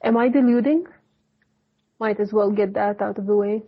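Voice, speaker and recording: A woman's voice: mid-range voice, normal speed, lightly acted. A woman reading an audiobook, voicing a shy young man who speaks hesitantly and pleads. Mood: pleading. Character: shy, trembling young man, devout knight. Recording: dull lo-fi recording.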